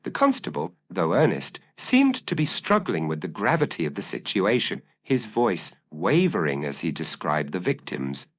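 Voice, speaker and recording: A man's voice: low-pitched, wildly swooping pitch, normal speed, hardly any pauses. A man narrating a scripted gothic mystery story in a formal, literary storytelling manner.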